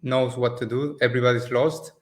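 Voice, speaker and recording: A man's voice: medium pitch, fairly quick. Spanish guy, easygoing interview guest. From Spain. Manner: relaxed, loose non-native phrasing with frequent restarts. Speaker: agency owner, guest. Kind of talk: casual interview over a call. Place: rented office in Mexico. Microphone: video call.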